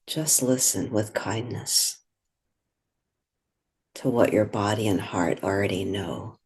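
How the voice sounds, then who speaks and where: A meditation guide speaking in a gentle, soothing, unhurried way, giving slow instructions with long pauses. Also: low voice, breathy edge.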